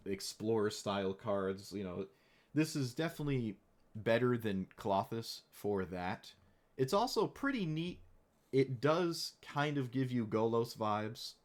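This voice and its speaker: Man, young adult, streamer, wry and chatty, a bit hoarse, lowish voice. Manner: chatty, wry, playfully mock-dramatic. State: slightly hoarse. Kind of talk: casual gameplay commentary.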